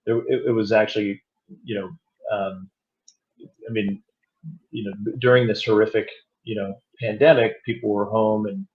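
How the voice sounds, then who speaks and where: A man speaking casually as an interview guest, with halting, roundabout phrasing. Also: lowish voice, steady tone. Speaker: podcast guest, audio drama producer and director. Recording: slightly dull, lo-fi sound.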